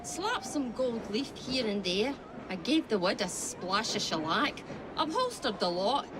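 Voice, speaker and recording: Eager young Victorian woman, chirpy voice, lively bouncing pitch, loud train noise behind. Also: a little slow. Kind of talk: video game cutscene acting.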